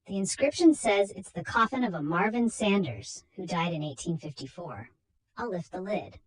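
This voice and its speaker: A woman's voice: lowish voice, expressive, normal speed, slightly rough, poor audio. A woman voicing a commanding, knowing male doctor who hunts a vampire in a storybook reading, with emphatic, declamatory delivery. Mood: grave explaining.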